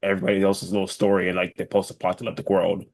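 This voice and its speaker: A man's voice: low voice, monotone, very fast, vocal fry, a little mellow, no room sound, studio quality. A man chatting casually as a podcast co-host, emphatic and repeating phrases for stress.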